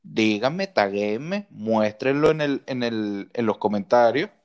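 A man's voice: on the low side, lively intonation, unhurried, darkish tone, smooth, lo-fi sound. Emphatic Venezuelan man chatting. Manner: emphatic, repeats words and rhetorical questions. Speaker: podcast co-host. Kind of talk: casual opinionated podcast chat.

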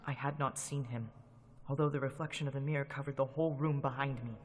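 A man's voice: mid-range voice, fairly even intonation, fast talker. A man narrating a classic radio drama in a tense, dramatic storytelling manner. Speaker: narrator and lead actor. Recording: loud music under the voice.

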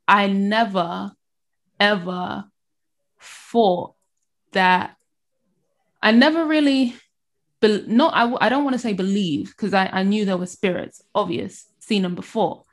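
A woman telling her faith story in casual conversation, often pausing and restarting her sentences. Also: mid-range voice. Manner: halting, restarts, laughs while telling.

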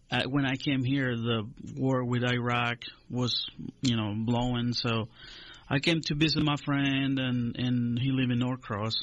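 A man in his forties from Honduras, talking in English, his second language, with a steady mid-range voice. He answers carefully, pausing on fillers as he searches for words. The sound is dry and a little dull. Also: medium pitch, no room sound. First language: Spanish. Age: 40s. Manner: measured, hesitant with many uh fillers. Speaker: extension agent. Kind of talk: radio interview.

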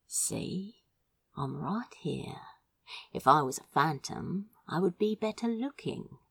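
A woman voicing a besotted, teasing male sorcerer in an audiobook, speaking tenderly in a breathy, half-whispered murmur. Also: deep voice, animated, slow, no room sound, far from the mic, heavily acted. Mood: tender reassurance.

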